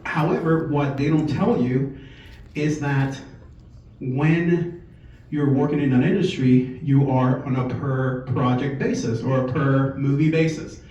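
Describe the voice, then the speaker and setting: A man in his forties from Puerto Rico gives an informal presentation, telling a personal story in a conversational, halting way. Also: mid-range voice, warm tone, far from the mic. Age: 40s. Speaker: speaker at a company sales meeting. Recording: echoey room from afar, muffled, faint noise.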